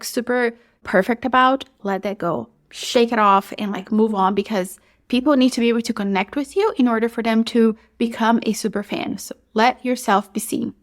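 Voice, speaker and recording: A woman in her thirties with a Brazilian accent, hosting a solo podcast and giving chatty, upbeat advice full of "like"s. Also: a little high. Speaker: podcast host.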